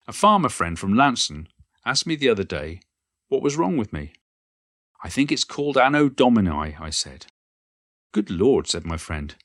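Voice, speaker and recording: A man's voice: deep voice, fairly bright tone, dry recording, clean studio sound. A man playing an elderly poet and former schoolteacher, reminiscing in a modest, wry and reflective monologue. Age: older. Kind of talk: reflective first-person monologue, read from a book.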